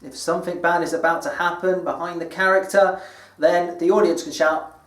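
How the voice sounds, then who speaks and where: British man teaching, higher-pitched, in echoey room. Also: fairly even intonation, normal speed, fairly bright tone. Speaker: English teacher presenting British culture. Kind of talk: scripted educational presentation to camera. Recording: echoey room, distant microphone.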